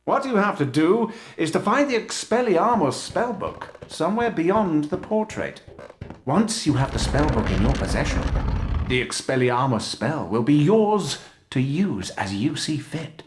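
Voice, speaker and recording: Pompous showman professor, lively, high-pitched voice. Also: unhurried, a little hiss or hum. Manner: pompous, theatrical, self-admiring. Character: vain boastful celebrity wizard professor.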